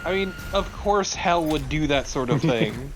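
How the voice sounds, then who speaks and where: A man's voice: on the high side, lively intonation, unhurried. A man in casual co-commentary on a video game, joking emphatically and swearing a lot. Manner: jokey, emphatic, heavy swearing. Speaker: let's play co-commentator. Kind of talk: casual chat over gameplay. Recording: faint background noise.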